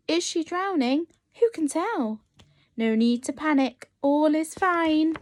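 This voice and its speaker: A woman reading a picture book aloud as a nursery teacher, expressive and encouraging as she addresses young children. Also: sits high, dry recording. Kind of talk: animated children's storytime reading.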